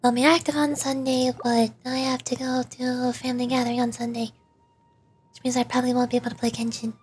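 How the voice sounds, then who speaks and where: A woman's voice: fairly high pitch, little pitch movement, quick pace, a little mellow. A woman giving casual, chatty livestream commentary, talking to herself as she plays a video game. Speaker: streamer, virtual YouTuber. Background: game music.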